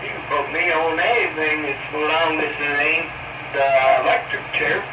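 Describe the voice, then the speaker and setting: Very loud noise surrounds a man talking over CB radio. He sounds distant and muffled, as if through a small speaker in a room. His talk is casual and folksy. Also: on the high side, level tone, moderate pace, echoey room. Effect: heard over CB radio. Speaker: CB radio operator.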